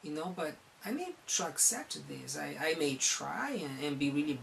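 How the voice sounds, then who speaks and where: A counselor from Brazil speaking with a Brazilian accent, giving a recorded talk on psychology in an earnest, halting way and often restarting his phrases. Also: on the high side, very animated, gravelly, fairly bright tone, a little hiss or hum.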